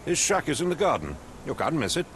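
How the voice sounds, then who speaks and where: An actor playing a pompous, impatient middle-aged captain in a video game, with an English accent and clipped, condescending speech. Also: male voice, fairly high pitch, huge pitch swings, fast talker, background noise. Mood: dismissive. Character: pompous, impatient male English captain, haughty wealthy master.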